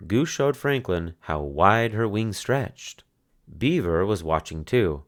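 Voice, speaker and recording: A man reading a children's picture book aloud as narrator, in expressive storytelling style. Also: lowish voice, slow.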